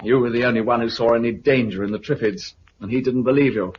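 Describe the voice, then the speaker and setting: An actor playing an affable, courteous British helicopter pilot bringing news, in a 1950s radio drama style. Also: male voice, mid-range voice, fast talker, lightly acted. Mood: cheerful, formal. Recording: muffled, noisy 1950s radio recording.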